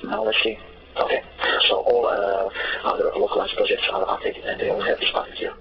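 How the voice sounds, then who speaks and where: A man from Croatia with a Croatian accent gives an unscripted, halting software tutorial as a non-native speaker. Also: deep voice, little pitch movement. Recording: dull, noisy, distant-sounding recording.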